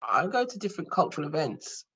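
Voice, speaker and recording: A woman with a British accent speaking thoughtfully and haltingly in an online group discussion, with many fillers. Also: deep voice, sing-song, a bit bright, husky, highs cut off. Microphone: video call.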